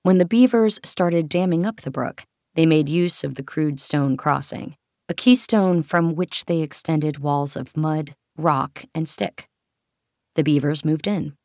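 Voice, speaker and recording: A woman's voice: low-pitched, measured pace, a little mellow, dry recording, clean studio sound. A woman reading literary nonfiction as a scripted audiobook narration.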